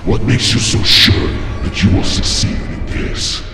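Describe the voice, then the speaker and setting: A man playing a sinister vampire cult leader in a game roleplay, speaking in a slow, menacing, theatrical, breathy way. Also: natural voice. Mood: taunting. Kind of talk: amateur video game roleplay acting. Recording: echoey hall reverb with music.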